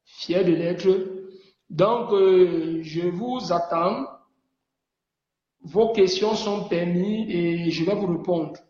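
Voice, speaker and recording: High-voiced Cameroonian man rallying followers. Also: a little mellow, roomy sound, big-room sound, muffled recording. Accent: Cameroonian French. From Cameroon, Mungo region. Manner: repetitive, rallying, preachy. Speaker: online group organizer. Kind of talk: casual live video address to followers.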